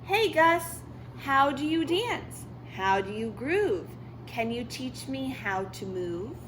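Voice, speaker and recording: A woman's voice: sits high, sing-song, slow pace, noisy background, full character voice. A woman voicing an eager, hopeful boy cat from a picture book, with a bouncy, earnest manner. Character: eager, hopeful young male cartoon cat who loves dancing.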